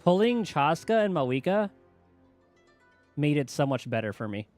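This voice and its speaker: A man's voice: a little high, very animated, normal speed, a little mellow. A man livestreaming a game with casual, off-the-cuff, rambling commentary. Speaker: game streamer. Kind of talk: casual live game commentary, reading dialogue aloud and chatting. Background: game music.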